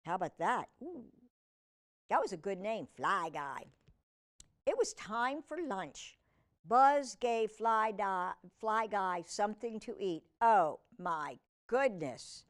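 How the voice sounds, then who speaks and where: A woman reads a picture book aloud for children in a very animated, swooping voice. Her pace is slow and deliberate, and she drops in chatty comments and questions as she goes. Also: mid-range voice. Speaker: host of a children's read-aloud storytime. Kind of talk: reading a picture book aloud with casual asides to young viewers.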